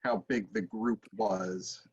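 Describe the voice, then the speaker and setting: A man in his forties asking casual questions as an interviewer on a video call, in a halting way with restarts. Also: lowish voice, fairly even intonation, breathy edge, highs cut off. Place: book-lined room at home.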